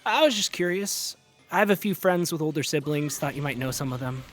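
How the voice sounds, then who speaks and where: A man playing a nervous, bright seventeen-year-old boy in an audio drama, speaking hesitantly and politely. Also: on the high side, animated, a little fast, natural voice. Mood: polite, curious. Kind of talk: naturalistic audio drama acting. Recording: music behind the voice.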